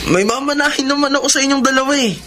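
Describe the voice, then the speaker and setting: An actor playing a spoiled, defiant blind son of twenty in a radio drama, brash and dismissive. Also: male voice, very high voice, rapid-fire, pushed and bright, slight background noise. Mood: cheerfully careless.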